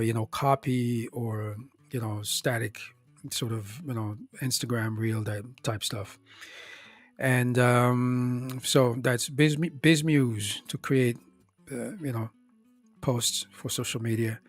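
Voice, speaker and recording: A slightly hoarse man talks through a list of projects in a loose, halting way, full of "uh"s and restarts. His voice sits at a middle pitch and is recorded close and dry, with faint music underneath. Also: no room sound. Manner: halting, many fillers and restarts, conversational. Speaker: startup founder presenting his projects. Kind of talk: casual spoken rundown of projects.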